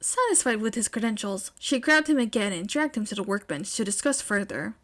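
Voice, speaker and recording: A woman reading fan fiction aloud as expressive, scripted story narration. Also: medium pitch, fairly quick. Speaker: fan fiction podfic reader.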